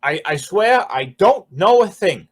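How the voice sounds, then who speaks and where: High, stammering, cringing stool pigeon. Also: male voice, sing-song, a little slow, husky, full character voice. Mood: frightened. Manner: stammering, whining, slangy. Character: nervous, cringing male underworld informant. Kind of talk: 1940s radio acting.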